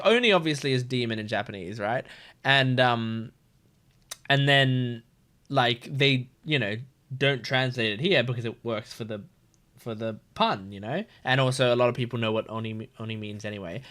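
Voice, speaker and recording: A man's voice: medium pitch, fairly bright tone. A man hosting a reaction video with casual, playful, rambling commentary.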